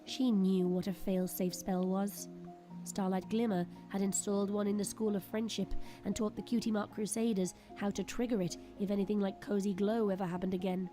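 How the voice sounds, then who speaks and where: A woman giving dramatic scripted narration for an audio drama, expressive and suspenseful. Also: mid-range voice. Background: prominent background music.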